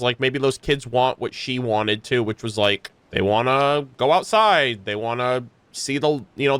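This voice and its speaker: A woman streamer giving casual, expressive reaction commentary on a game, informal and prone to swearing. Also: extremely low pitch, clear, resonant tone, dry recording.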